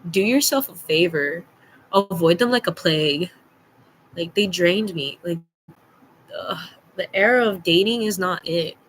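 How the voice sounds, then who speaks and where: A woman's voice: fairly low pitch, measured pace, long pauses, a little hiss or hum, poor audio. A young adult woman telling a personal story casually, in a blunt, slangy, emphatic way, acting out bits of dialogue. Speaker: storytime vlogger.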